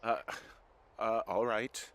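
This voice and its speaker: An actor playing a weary, anxious American fire lookout in a video game, hesitant and exasperated in natural dialogue. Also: male voice, on the low side, fairly even intonation, slow, a bit bright. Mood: puzzled.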